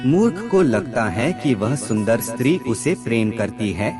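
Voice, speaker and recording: A man reading scripted moral aphorisms as a narrator, in a measured, formal recitation. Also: medium pitch, sing-song. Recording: music bed under the voice. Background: background music.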